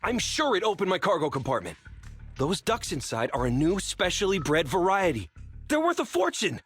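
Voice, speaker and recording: A man playing an indignant, accusing delivery truck driver in a video game, with a husky voice. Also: sits high, wildly swooping pitch, moderate pace, soft background music. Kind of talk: video game character acting.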